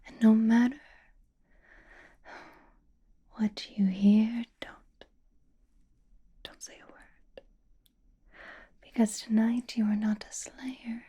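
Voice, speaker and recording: A female vampire, played by a woman whispers slowly and breathily, with a soft, mellow tone. She is coy and teasing, possessive of her pet, and gives intimate orders in a gothic ASMR roleplay. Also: a little high, little pitch movement, breathy edge, exaggerated voice. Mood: coy, conspiratorial. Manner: slow, coy, intimate, commanding.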